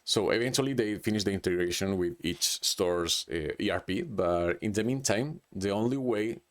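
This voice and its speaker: A man's voice: fairly low pitch, expressive, normal speed. Hesitant man with a Chilean accent. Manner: hesitant, with many restarts and "like". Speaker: presenter. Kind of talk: unscripted business presentation.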